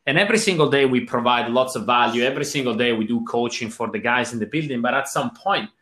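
A man's voice: mid-range voice, quick pace, pushed and bright, reverberant. A man with a Swiss accent answering interview questions as a business coach, earnest and explanatory. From Switzerland.

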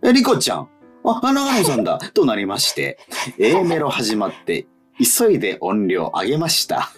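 A man hosting a radio show in casual, polite and expressive talk, reading out listener letters. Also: mid-range voice, moderate pace, husky, faint music behind.

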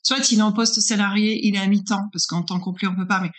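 A woman's voice: medium pitch, steady tone, quick pace. A woman in her forties from northern France, answering interview questions as a consultant, explaining conversationally and checking in as she goes. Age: 40s. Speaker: interviewed guest, business incubator consultant.

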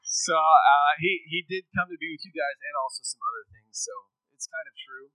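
A man's voice: on the high side, moderate pace, pushed and bright, no echo, low-quality recording. A man, a missionary speaking in a casual, conversational sermon and asking his audience questions. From rural Texas.

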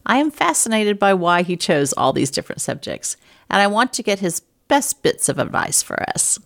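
A woman's voice: medium pitch, very animated, normal speed, gravelly, a bit bright. A woman from Louisiana and Texas who hosts a show, interviewing a guest over a video call in a chatty way. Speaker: show host.

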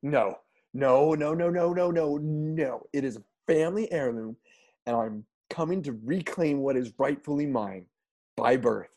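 A man plays a jumpy young man who stammers and restarts when flustered. His voice sits in a middle pitch and jumps up when he panics. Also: a little slow, a little mellow. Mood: defiant. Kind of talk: improvised audio comedy.

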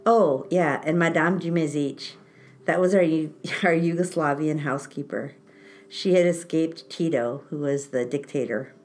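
A woman's voice: deep voice, moderate pace, a little mellow. A woman podcast host, born in Italy and raised in France, telling personal stories in a wry, self-mocking way and laughing often. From born in Italy, grew up in France. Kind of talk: casual storytelling chat.

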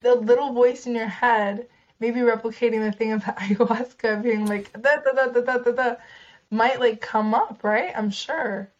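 A woman's voice: a little high, steady tone, moderate pace, muffled recording. A woman hosting a podcast, chatting casually as she puts questions to her guest. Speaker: podcast host. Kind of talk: casual conversational interview.

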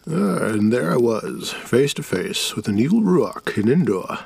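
A man's voice: mid-range voice, sing-song, unhurried, gravelly, darkish tone, husky, no room sound, full character voice. A man voicing a boastful old man who spins spooky campfire tales in a theatrical manner. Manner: theatrical, spooky tale-telling.

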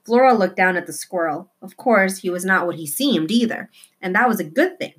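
A woman narrating a children's novel in an expressive read-aloud style. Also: mid-range voice, brisk, husky.

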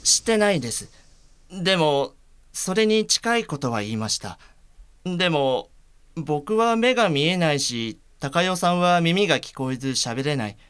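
Earnest, clear-voiced lovestruck blind man. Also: fairly high pitch, expressive, measured pace, fairly bright tone, dry recording. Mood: bashful, earnest. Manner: polite, earnest. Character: earnest, polite blind man shyly in love. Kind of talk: audio drama acting.

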